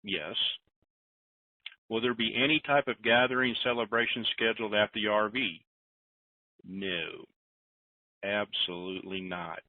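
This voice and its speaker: A man's voice: fairly low pitch, steady tone, a little slow, long pauses, dry recording. A man hosting a conference call, reading listener questions aloud and answering in a rambling, self-deprecating, joking way. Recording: tinny phone line.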